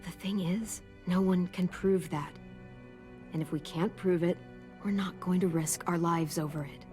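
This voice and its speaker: A teenage survivor girl played by a woman speaks with an American accent in a fairly low voice. She is guarded and caring, in natural video game acting. Music plays softly behind her. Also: steady tone, measured pace, lightly acted. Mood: firm, protective.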